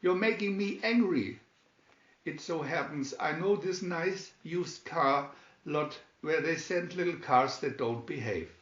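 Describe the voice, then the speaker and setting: A man's voice: on the high side, measured pace, voice pushed up, lightly acted. A man voicing a stern, feisty old grandmother from a storybook, firm and scolding. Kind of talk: storybook character reading. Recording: dull, echoey room recording.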